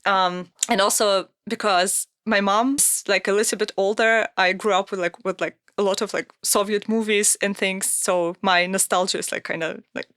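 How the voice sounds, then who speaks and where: A woman's voice: medium pitch, fairly even intonation, moderate pace, few pauses, fairly bright tone, clean tone, no echo. A woman in her thirties, raised in Russia, talks in a casual interview, feeling her way through thoughts with fillers, repeats and restarts. Her medium voice sits close to the mic in a dry studio with no room sound. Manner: hesitant, many fillers and restarts. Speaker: guest musician.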